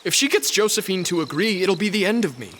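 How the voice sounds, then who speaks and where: An actor playing a spoiled, snobbish young lord in an audio drama, speaking with cutting, disdainful sarcasm. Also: male voice, high-pitched, sing-song, fast, a bit bright, slight background noise. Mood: sneering.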